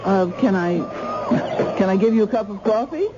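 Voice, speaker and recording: Woman playing a sensible, expressive American mother. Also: medium pitch, normal speed, over music, natural voice. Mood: polite, disbelieving. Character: sensible, skeptical, anxious mother and wife. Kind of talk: old-time radio drama acting. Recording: muffled, hissy old radio recording.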